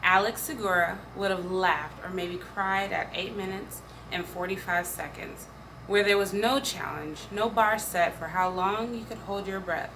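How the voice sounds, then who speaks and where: A woman's voice: mid-range voice, steady tone, unhurried. A woman reading spoken-word poetry aloud in an earnest, emphatic way. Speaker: poetry reader. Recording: faint background noise.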